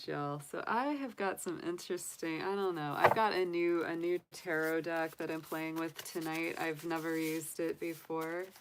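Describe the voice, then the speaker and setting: A woman's voice: low voice, gravelly, noisy background. A woman from the United States, casually chatting on a livestream as a tarot reader, rambling with many fillers and restarts. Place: home room with candles and sage.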